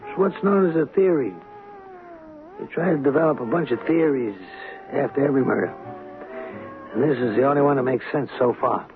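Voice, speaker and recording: An actor playing a patient, persistent police lieutenant in an old-time radio drama, probing and coaxing in a halting way. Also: male voice, medium pitch, lightly acted. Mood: explaining. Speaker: police detective. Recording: muffled old radio recording, music behind.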